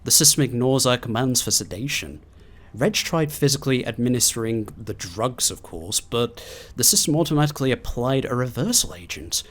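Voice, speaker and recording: A man doing video game commentary and reading in-game documents aloud in a theatrical, expressive way. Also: medium pitch, normal speed. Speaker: gaming video host.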